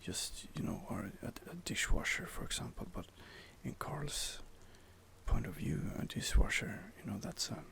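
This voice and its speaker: A man whispering softly and hesitantly as he muses through gameplay commentary for ASMR, close to the microphone. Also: lowish voice, lively intonation, normal speed, vocal fry. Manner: soft, hesitant, musing. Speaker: ASMR gamer.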